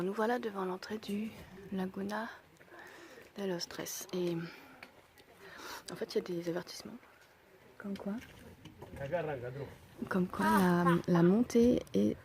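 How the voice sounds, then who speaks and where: A young woman with a hoarse voice from a cold, slightly out of breath, chatting casually to her camera while hiking a mountain trail outdoors. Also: medium pitch. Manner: chatty and self-deprecating, thinking aloud. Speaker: hiker vlogging a mountain trail. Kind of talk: casual spoken travel vlog.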